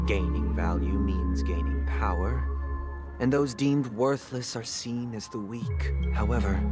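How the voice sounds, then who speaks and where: An actor playing a composed, courteous leader who speaks in measured, philosophical phrases in a game drama. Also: male voice, fairly low pitch, lightly acted. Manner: measured, polite, lecturing. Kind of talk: dramatic video game voice acting. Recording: music playing behind the voice.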